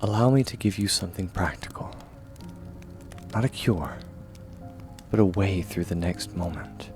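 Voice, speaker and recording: Male actor as kind swordsman mentor, deep voice, unhurried, soft near-whisper, reassuring, ASMR comfort roleplay. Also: lively intonation, faint music behind. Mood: gentle, encouraging. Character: gentle, steadfast young swordsman mentor comforting a friend.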